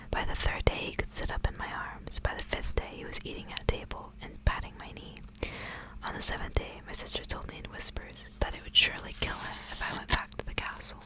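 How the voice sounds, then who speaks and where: A woman speaks entirely in a whisper, in the manner of an ASMR host. Her delivery is soft, hushed and gentle, suited to reading stories aloud. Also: poor audio.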